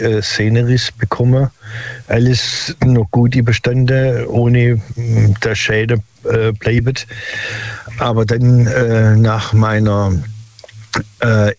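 Older guy calling in, lowish voice, halting, dry dull phone sound. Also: darkish tone, no room sound. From Stuttgart area. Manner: halting, many filler sounds. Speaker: caller. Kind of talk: phone-in storytelling.

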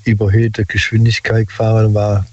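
An older man calls in and tells his story haltingly, with many filler sounds. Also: lowish voice, completely flat delivery, darkish tone, no room sound. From Stuttgart area. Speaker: caller. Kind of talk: phone-in storytelling. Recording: dull lo-fi phone line.

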